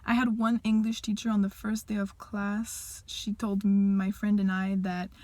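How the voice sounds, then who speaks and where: A woman's voice: mid-range voice, level tone, a little mellow, dry recording. Young Quebecois woman, dry close sound. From Quebec, Canada. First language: French. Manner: candid, reflective, pausing mid-thought to rephrase. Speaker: vlogger. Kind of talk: casual personal chat to viewers.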